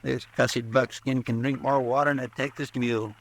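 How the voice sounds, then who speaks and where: A man's voice: mid-range voice, little pitch movement, moderate pace, slight background noise, close to an everyday voice. A man playing a drawling, folksy sidekick. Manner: drawling, rustic country talk. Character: folksy, fretful sidekick deputy, talkative. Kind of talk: 1950s radio drama acting.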